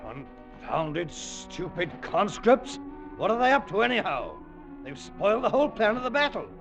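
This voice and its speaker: An actor playing an older army general, clipped and commanding. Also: male voice, high-pitched, sing-song, normal speed, a bit bright, full character voice. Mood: furious. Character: older British army general, brisk and irritable. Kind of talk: 1940s radio acting. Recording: dull old radio broadcast with music.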